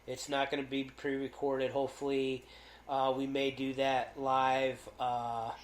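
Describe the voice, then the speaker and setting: A man co-hosting a casual podcast, loud-voiced and rambling, with lots of fillers and restarts. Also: mid-range voice, completely flat delivery, a little slow, echoey room. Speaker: podcast co-host.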